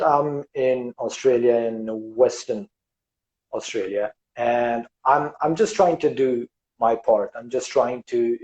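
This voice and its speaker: A man from Afghanistan hosting a casual podcast interview, speaking haltingly with many fillers and restarts. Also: mid-range voice, a little mellow, dull audio. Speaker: podcast host. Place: Western Australia.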